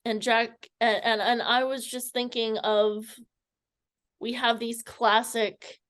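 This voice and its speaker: A woman's voice: on the high side, flat delivery, slow pace, a bit bright. A woman interviewer asking casual questions, hesitant and searching for her words.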